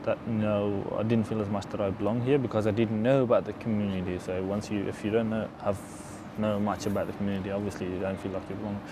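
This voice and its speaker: Young guy from afghanistan, lowish voice, laid back and rambly, traffic noise going on behind him. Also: quick pace, hardly any pauses. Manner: relaxed, chatty, drops in many fillers. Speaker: interviewee, engineering student. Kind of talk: documentary interview.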